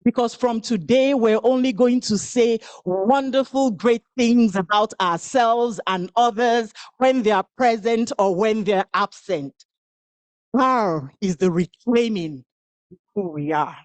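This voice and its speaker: A woman whose first language is Igbo performs spoken-word poetry in a slow, measured rhythm, letting each phrase land before the next. Her delivery is incantatory, with long pauses between lines. The sound is close and dry. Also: a little high, darkish tone, dry recording. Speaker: speaker at a women's event.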